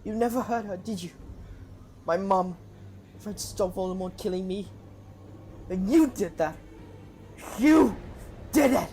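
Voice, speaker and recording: A woman's voice: mid-range voice, measured pace, long pauses, warm tone, faint music behind, lightly acted. A woman playing a brave, defiant teenage boy wizard in an audio drama, with intense, dramatic delivery. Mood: furious. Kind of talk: fan audio drama acting.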